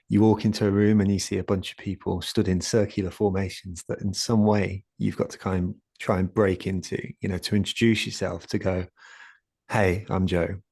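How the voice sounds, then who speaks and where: A soft-spoken man in his late twenties or thirties, talking as a guest on a video call, hesitant with many fillers and restarts. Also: deep voice, vocal fry, no echo, studio quality. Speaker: guest speaker, community founder. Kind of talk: conversational talk in an online session.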